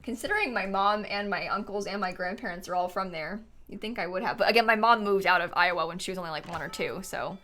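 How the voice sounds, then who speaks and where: A young woman streamer from Central Florida, with an American accent that leans Midwestern. Her voice is bright and forward, at a middle pitch for a woman. She chats loosely with viewers, filling her sentences with "like" and "um" and laughing often. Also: quick pace. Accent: American, Midwestern-leaning. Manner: chatty, rambling, full of "like" and "um", laughs often. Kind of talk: casual livestream chat answering viewers.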